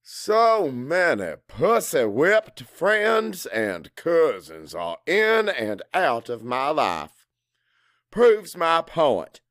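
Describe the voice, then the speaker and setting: Guy playing cocky macho salesman telling his story, scornful, pitch all over the place, unhurried, dry audiobook sound. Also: a bit bright, dry recording, heavily acted. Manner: brash, scornful. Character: crude, cocky salesman, macho, resentful, foul-mouthed. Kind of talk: first-person dramatic audiobook narration.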